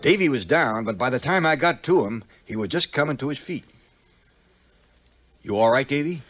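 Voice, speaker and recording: A man's voice: mid-range voice, moderate pace. A man playing a folksy frontier sidekick, telling his adventures with dry humor in a 1950s audio adventure. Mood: animated, wry. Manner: folksy, dryly humorous, animated. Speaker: narrator. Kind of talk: scripted first-person storytelling, 1950s audio adventure. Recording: 1950s record, music behind.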